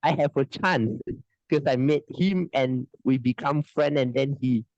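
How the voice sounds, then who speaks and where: A man from Indonesia speaks slowly and haltingly, restarting his sentences over and over. His voice has a middle pitch. It comes through dry and a little muffled over a video call. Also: darkish tone, no echo. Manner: halting, hesitant speech with many restarts and frequent laughs. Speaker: online English teacher, interview guest. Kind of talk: casual video-call interview.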